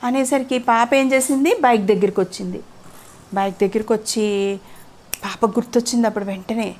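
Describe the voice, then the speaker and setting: High-voiced woman telling a children's story. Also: steady tone, brisk, darkish tone, a little hiss or hum. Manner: interactive, asks the child questions. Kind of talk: conversational bedtime moral storytelling.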